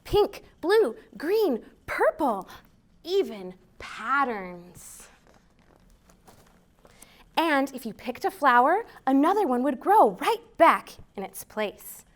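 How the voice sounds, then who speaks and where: An American woman hosting a children's storytime, reading aloud in an expressive, deliberate way for young listeners. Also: sits high. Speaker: library storytime host.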